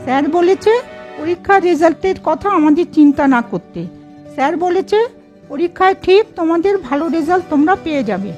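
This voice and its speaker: Woman playing a very high-pitched anxious college girl. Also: lively intonation, brisk, a little mellow. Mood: earnest. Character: anxious, earnest college-girl younger sister. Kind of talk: children's cartoon story dialogue. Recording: dull lo-fi audio over music.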